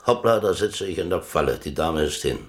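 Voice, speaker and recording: A deep-voiced, defiant prisoner captain. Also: male voice, expressive, a little fast. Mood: guarded. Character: defiant, streetwise German prisoner, a chess-playing captain. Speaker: actor in a radio drama. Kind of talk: German radio play acting.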